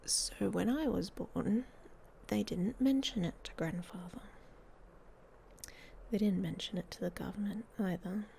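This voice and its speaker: Woman acting a slow, whispery, guarded she-wolf. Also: medium pitch, warm tone. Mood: wry, guarded. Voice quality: breathy, whispery. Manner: slow, hesitant, dryly blunt confiding. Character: guarded, hot-tempered young she-wolf werewolf, confiding softly. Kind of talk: ASMR roleplay audio story, intimate monologue. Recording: faint background noise.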